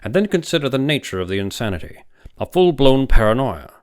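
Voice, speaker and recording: A man's voice: mid-range voice, sing-song, brisk, pitched above the performer's own voice, close to an everyday voice. A man voicing a vehement, scheming older male psychiatrist in an audiobook, emphatic and condescending. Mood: musing, intense. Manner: emphatic, exclamatory, argumentative. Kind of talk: audiobook character voice.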